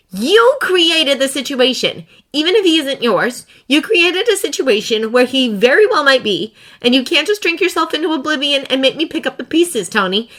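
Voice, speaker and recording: A woman reading a story voices a sharp, exasperated female assistant who scolds. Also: medium pitch, pitched above the performer's own voice, close to an everyday voice.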